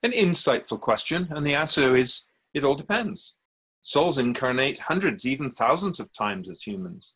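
Man voicing a serene spirit guide with animated intonation. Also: medium pitch, breathy edge, narrow, tinny audio. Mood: solemn, assured. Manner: measured, formal, instructive. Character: Keeper of Souls, serene wise otherworldly spirit guide. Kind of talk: radio drama dialogue.

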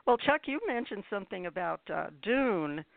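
Halting woman radio host, phone-like sound. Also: mid-range voice, expressive, gravelly, dry recording. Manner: halting, hesitant delivery. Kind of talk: hosting a radio interview. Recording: tinny phone-like line.

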